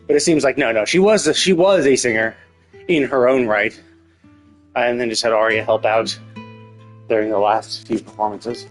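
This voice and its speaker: A man's voice: mid-range voice, moderate pace, pauses often. A man giving casual, rambling live commentary as a game streamer, thinking aloud as he goes. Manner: mild casual swearing. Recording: game music under the voice. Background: game music with guitar.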